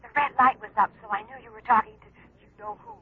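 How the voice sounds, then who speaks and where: An actress playing a brisk, knowing secretary in an old-time radio drama. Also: female voice, fairly high pitch, big pitch swings, husky, no echo, exaggerated voice. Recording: tinny, phone-like old radio recording.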